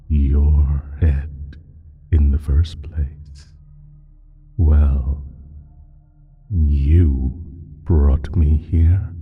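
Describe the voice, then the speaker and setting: Ancient nefarious entity for audio drama: bottomless low register, condescending menace, darkly amused, slightly muffled sound. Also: male voice, exaggerated voice. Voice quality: husky, breathy, very deep. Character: ancient evil male primordial entity, smug and menacing. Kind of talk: slow audio roleplay monologue.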